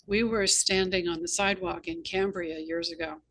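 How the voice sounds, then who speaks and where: A woman's voice: lowish voice, steady tone, moderate pace, a bit bright, no echo. A woman hosting a conversational interview, chatting and sharing anecdotes. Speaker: interview host and novelist.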